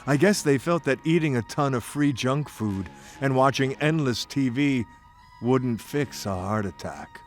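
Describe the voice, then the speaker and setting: A man's voice: medium pitch, expressive, unhurried. A man narrating a scripted first-person story in a theatrical, folksy, playful manner. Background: music bed.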